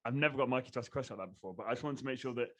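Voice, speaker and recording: A man's voice: medium pitch, rapid-fire, fairly bright tone. A man hosting a podcast, speaking with enthusiasm and swearing freely. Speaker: podcast host. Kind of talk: podcast conversation.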